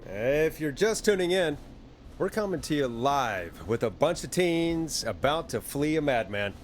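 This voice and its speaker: An actor playing a steady, reassuring male radio host in a video game, with faint noise behind. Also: fairly high pitch, a little slow. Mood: solemn announcing.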